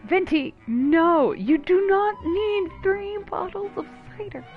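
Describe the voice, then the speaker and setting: A woman's voice: very high voice, lively intonation, slow, phone-quality sound. A woman giving casual, teasing, playfully exasperated commentary on a video game as she plays. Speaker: game streamer. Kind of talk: casual reactive gaming commentary. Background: game music.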